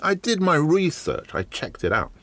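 A man hosting a podcast from his shed, with a slightly croaky voice and a chatty, wry manner full of ums and uhs. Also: a little high, huge pitch swings, moderate pace, fairly bright tone. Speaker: podcast host. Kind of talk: casual solo podcast monologue. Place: garden shed.

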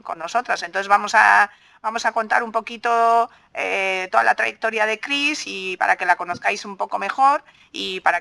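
A woman's voice: lowish voice, vocal fry, pushed and bright. A woman with an accent from Spain, hosting a podcast in a casual, informal interview style. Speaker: podcast host.

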